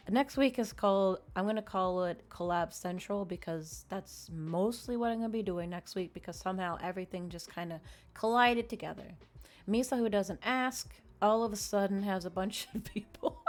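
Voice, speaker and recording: A woman live streamer chatting casually and informally to her viewers in a friendly way. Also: mid-range voice. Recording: music playing behind the voice. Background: background music.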